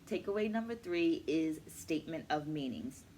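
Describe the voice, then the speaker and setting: Middle aged lady doing a book review vlog, lowish voice, emphatic, some room noise behind her. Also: expressive, normal speed, mellow. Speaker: host of a virtual book club, educator. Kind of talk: vlog book review, explanatory talk to camera. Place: small furnished room at home, indoors.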